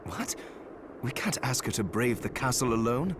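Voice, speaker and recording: A man's voice: medium pitch, very animated, moderate pace, noisy background, natural voice. An actor playing an earnest, protective young male alchemist in a video game, speaking with concern. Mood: troubled, objecting. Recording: loud music behind the voice. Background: loud game music.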